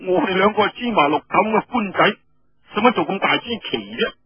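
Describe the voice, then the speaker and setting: Man playing pert young woman soldier, husky voice. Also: high-pitched, expressive, fairly quick, no room sound, highs cut off, voice pushed up, heavily acted. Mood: brisk, helpful. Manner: teasing, needling, colloquial.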